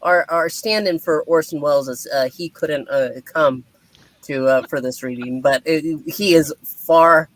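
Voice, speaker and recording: A woman with a rambling, excitable way of talking, hosting a puppet show in a chatty live-stream style. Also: low-pitched, unhurried, no echo.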